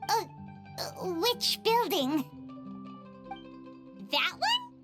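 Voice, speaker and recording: A woman voicing a bubbly, excitable little fairy companion in a video game cutscene, chirpy and curious. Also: very high-pitched, very slow, exaggerated voice. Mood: flustered. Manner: chirpy, refers to herself in third person. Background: playful game music.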